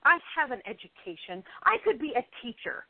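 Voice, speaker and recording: A woman's voice: high-pitched, big pitch swings, a little fast, creaky, no echo, full character voice. A woman acting a stubborn, frustrated thirty-year-old struggling actress in an amateur radio play, emphatic and theatrical. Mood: bitter. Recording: tinny phone-line internet radio audio.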